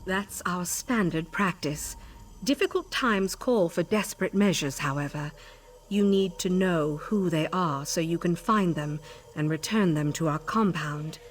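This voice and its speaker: Stern, haughty elven sage played by an actress. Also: female voice, on the low side, measured pace, slight background noise, close to an everyday voice. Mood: formal, explanatory. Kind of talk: video game character dialogue.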